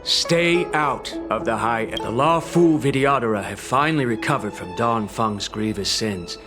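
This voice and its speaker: A man's voice: mid-range voice, unhurried, over music, lightly acted. An actor playing a stern, disapproving clansman in a video game, formal and admonishing. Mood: stern, warning. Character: stern, disapproving clansman warning an outcast away. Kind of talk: video game dialogue acting.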